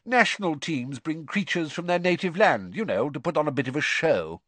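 A man's voice: on the high side, expressive, moderate pace, a bit bright, no room sound, pitched above the performer's own voice. A man voicing a genial, enthusiastic middle-aged father wizard in a storybook.

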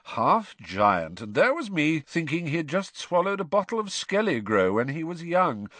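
A man's voice: on the high side, sing-song, moderate pace, a bit bright, no room sound, pitched above the performer's own voice. A man doing a sneering, drawling schoolboy bully. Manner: drawling, mocking. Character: sneering spiteful teenage schoolboy.

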